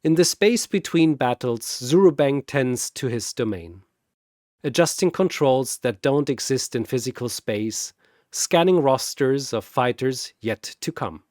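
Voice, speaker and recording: A man narrating a scripted story in a deliberate, dramatic way. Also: medium pitch, lively intonation, a little mellow, no echo, clean studio sound.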